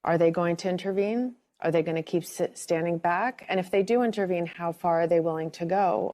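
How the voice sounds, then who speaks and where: News guest, female, lowish mellow voice, deliberate delivery. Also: a little hiss or hum. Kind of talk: broadcast news commentary.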